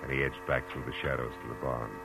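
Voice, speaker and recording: A hard-boiled American private eye with a very deep male voice. He is wry and clipped, talking in the slangy style of 1940s radio drama, with music prominent on an old recording. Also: fairly even intonation, fast talker, creaky, low-quality recording. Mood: moody narrating.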